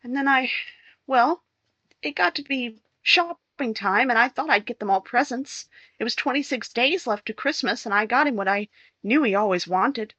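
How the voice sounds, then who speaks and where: Actress as high-voiced, distraught young wife. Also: female voice, animated, bright, forceful tone, no room sound, muffled recording. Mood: anguished recounting. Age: young adult. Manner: emotional, halting, pleading. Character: distraught young wife confessing, pleading and wounded. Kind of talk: 1950s-style radio crime drama acting.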